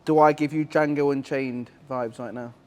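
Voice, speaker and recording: A man's voice: mid-range voice, fairly even intonation, normal speed. A man hosting a podcast, chatting casually and teasing his guest in a brash, joking way. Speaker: podcast host.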